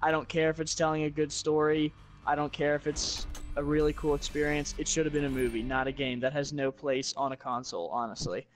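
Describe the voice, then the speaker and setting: A man's voice: a little high, flat delivery, fairly quick, hardly any pauses. A man giving blunt, opinionated takes on video games as a guest in casual talk.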